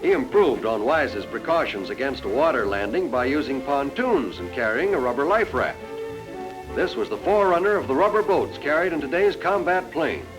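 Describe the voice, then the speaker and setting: A man's voice: medium pitch, sing-song, normal speed, poor audio. A man narrating a 1940s educational film in a formal, instructive, scripted manner. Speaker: narrator of a military training film. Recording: 1940s film soundtrack, faint music.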